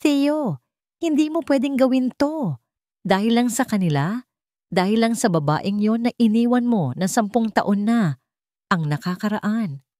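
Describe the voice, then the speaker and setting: A woman's voice: a little high, expressive, unhurried, long pauses, mellow, no room sound, studio quality, pitched above the performer's own voice. A woman voicing a haughty young rich fiancée in an audio romance drama, sweet on the surface with menacing emphasis. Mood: shocked, pleading.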